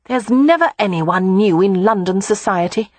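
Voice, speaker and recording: A woman's voice: mid-range voice, lively intonation, moderate pace, darkish tone, dry recording, voice pushed up, close to an everyday voice. A woman voicing a spirited, independent young woman in an audiobook, wry and frank. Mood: wry, weary. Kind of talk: audiobook character voice.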